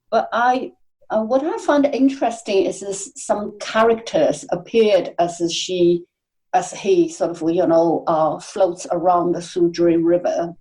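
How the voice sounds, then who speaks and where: A woman guest on a podcast talks slowly in a mellow, low-ish voice. She is soft-spoken and hesitant, often pausing on fillers. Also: rough recording. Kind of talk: casual interview chat.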